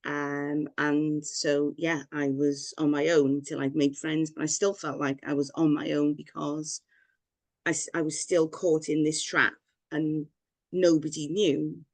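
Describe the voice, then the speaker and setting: A woman's voice: deep voice, flat delivery, measured pace, a little mellow, no echo. A woman from Wales, a guest telling her own story in a rambling, candid way with frequent laughs. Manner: rambling, personal, laughs often. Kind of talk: candid personal story in interview.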